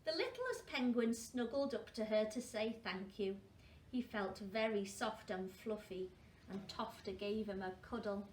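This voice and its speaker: A woman's voice: medium pitch, lively intonation, unhurried. A woman reading a story aloud to young children as a school storyteller, adding questioning asides to her listeners. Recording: roomy, distant mic, faint noise.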